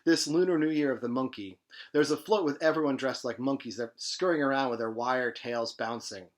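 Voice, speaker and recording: A man's voice: mid-range voice, a little fast, close to an everyday voice. Man reading as a hip, emphatic storyteller. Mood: vivid, urgent. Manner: emphatic, slangy, driving. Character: hip, punchy 1968 storyteller posing as news anchor. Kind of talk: dramatic reading of literary fiction.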